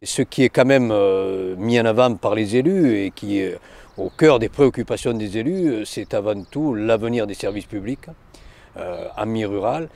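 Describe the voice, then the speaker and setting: A man speaking as a local elected official in a news interview, halting and earnest, with formal phrasing. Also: lowish voice, creaky, darkish tone.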